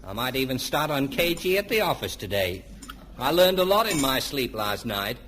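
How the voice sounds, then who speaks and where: An actor playing a bumbling, self-important middle-aged dad in old-time radio comedy, hesitant and pompous. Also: male voice, medium pitch, sing-song, normal speed, a bit bright. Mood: defensive, stubborn. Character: bumbling, self-important family dad dreaming he's a stage hypnotist. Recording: echoey old radio recording, faint hiss.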